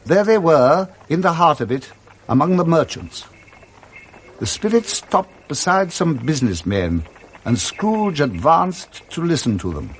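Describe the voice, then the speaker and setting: A man with a British accent narrating a Victorian ghost story in a deliberate, theatrical radio drama style. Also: a little high, big pitch swings, slight background noise, poor audio. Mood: grave, suspenseful.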